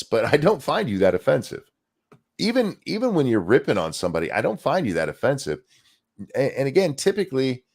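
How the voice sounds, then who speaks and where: A man's voice: mid-range voice, creaky. A man hosting a casual livestream chat, talking in a loose, rambling way with restarts. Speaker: host.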